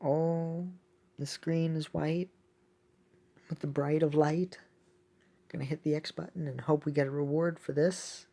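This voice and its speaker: A woman giving casual, excitable gameplay commentary as a video host, thinking aloud as she plays. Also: low-pitched, unhurried, long pauses. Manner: excitable, exclaiming often; thinks aloud while playing.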